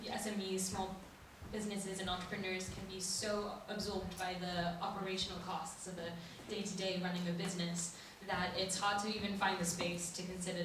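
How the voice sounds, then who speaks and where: A woman's voice: lowish voice, noisy background. A woman speaking as an entrepreneur guest on a live panel, fluent and upbeat. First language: English. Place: conference room with audience. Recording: echoey hall, voice from a distance.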